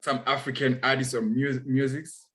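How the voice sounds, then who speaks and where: A young man from Congo hosting a relaxed chat, laughing often and restarting his sentences. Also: mid-range voice, moderate pace. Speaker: host. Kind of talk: casual chat.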